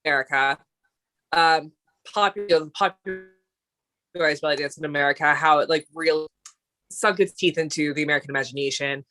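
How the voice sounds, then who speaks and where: A woman's voice: deep voice, normal speed, long pauses, pushed and bright, low-quality recording. A woman co-hosting a live stream, chatting casually and rambling, with many fillers and restarts. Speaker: co-host of a dance talk stream.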